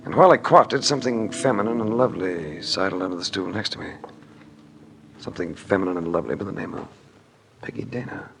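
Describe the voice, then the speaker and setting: A low-voiced, wisecracking detective, noisy recording. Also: male voice, fast, vocal fry, a bit bright. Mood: dry narration. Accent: American. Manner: dry, sardonic. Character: wry, wisecracking hard-boiled male private investigator. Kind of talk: 1950s radio drama acting with first-person narration. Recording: very noisy old radio recording.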